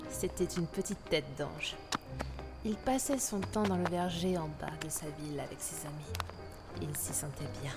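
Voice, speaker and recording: A woman plays a gentle mother narrating a spooky story in an audio drama. Her voice is on the low side and soothing, with measured phrasing over music. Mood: storytelling. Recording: music behind the voice.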